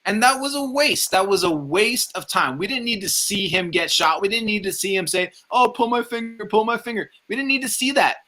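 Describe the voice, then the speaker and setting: A man's voice: high-pitched, animated, a little fast, few pauses, sharp tone. A man hosting a casual film review, ranting in a blunt, emphatic way with heavy swearing. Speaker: film review show host. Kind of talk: casual ranting movie commentary.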